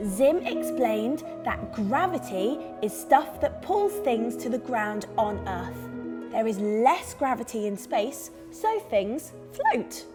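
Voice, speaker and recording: Woman storyteller, high swooping voice, slow pace, expressive, children's tale, music underneath. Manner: expressive, clearly dramatised delivery. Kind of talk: animated scripted storytelling for young children. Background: music under the reading.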